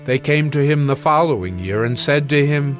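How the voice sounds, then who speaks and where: A man's voice: fairly high pitch, expressive, moderate pace, faint music behind. A man reading scripted Bible narration for an audio drama.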